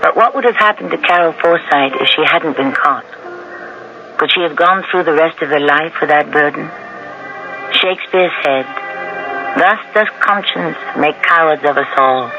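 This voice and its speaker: A man hosting a radio mystery show, narrating from a script in a theatrical, rhetorical way. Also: on the high side. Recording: dull vintage radio recording with music. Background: music.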